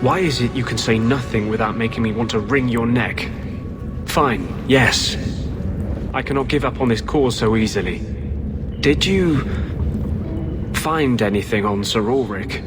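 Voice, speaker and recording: An actor playing a tormented, possessed rebel mage in a video game, earnest and anguished. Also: male voice, medium pitch, a little slow, pauses often, lightly acted. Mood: exasperated. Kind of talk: dramatic video game acting. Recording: game audio with music behind.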